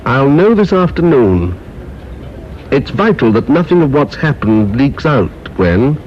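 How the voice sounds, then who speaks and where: An actor playing an ambitious, secretive government official in a radio drama, with a British accent and a clipped, self-important manner. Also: male voice, mid-range voice, sing-song, a little slow. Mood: guarded, urgent. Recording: dull radio play recording, noisy.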